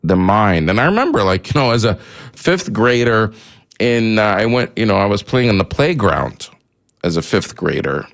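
A man's voice: fairly low pitch, sing-song, moderate pace, gravelly, a bit bright. A man from Egypt whose first language is Arabic, hosting a call-in radio show and talking in a rambling way full of fillers and restarts.

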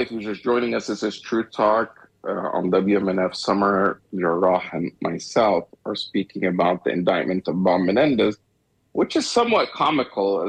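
A man's voice: on the low side, vocal fry, slightly rough, rough recording. A man from Egypt co-hosting a talk radio show, discussing politics in a rambling way with frequent restarts and wry chuckles.

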